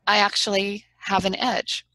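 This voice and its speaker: A woman's voice: medium pitch, level tone, a little slow, pushed and bright, no echo, rough recording. A woman trial lawyer as a guest in an interview, talking in an earnest, frank and persuasive way. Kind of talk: conversational interview.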